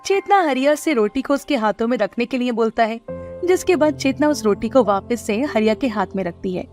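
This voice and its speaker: A woman giving scripted narration of an animated moral story. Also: fairly high pitch, a little fast, soft background music.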